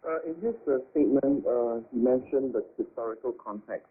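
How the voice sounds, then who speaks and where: A man giving measured political analysis as an expert guest, speaking formally and carefully with halting hesitations. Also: medium pitch, breathy edge. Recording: tinny narrow-band shortwave radio.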